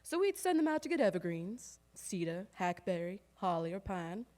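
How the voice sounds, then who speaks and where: Wry, harried old-time Southern housewife played by an actress. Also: female voice, mid-range voice, big pitch swings, moderate pace, darkish tone, natural voice. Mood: wry, exasperated. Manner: wry, emphatic, lingering over lists. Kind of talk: theatrical storytelling monologue on stage. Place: live stage performance in a recreation hall.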